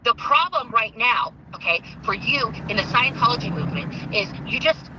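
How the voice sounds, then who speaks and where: A woman's voice: fairly high pitch, expressive, moderate pace. A woman arguing confrontationally over the phone, exasperated and repeating her points for emphasis. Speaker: activist, former Scientology member. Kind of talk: heated phone argument. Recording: noisy phone line.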